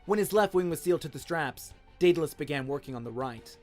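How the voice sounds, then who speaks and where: Guy narrating an adventure story, dramatic, lightish voice, soft music behind him. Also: on the high side, expressive, fast talker. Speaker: narrator, voice actor. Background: music and sound effects.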